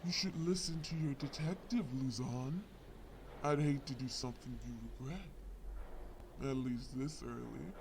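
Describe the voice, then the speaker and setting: An actor playing a smug, lovesick crime lord in an audio roleplay, drawling and long-winded with polite menace. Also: male voice, mid-range voice, unhurried, creaky, a little mellow, slight background noise. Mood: smug, menacing. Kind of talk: scripted audio roleplay acting.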